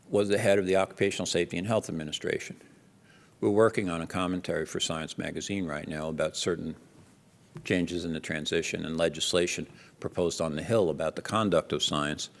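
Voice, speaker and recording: A man's voice: sits low, gravelly, a bit bright. An older man with a New Jersey accent giving a conference keynote, talking animatedly and conversationally with many restarts. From Jersey City, New Jersey. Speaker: keynote speaker. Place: large lecture hall. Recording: long hall reverb.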